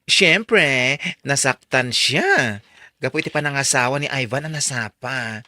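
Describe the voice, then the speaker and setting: Chatty sympathetic young pal for radio drama, lively intonation, expressive, tight dry recording. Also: female voice, rumbling low, no room sound, exaggerated voice. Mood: reassuring. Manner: expressive, drawn-out exclamations.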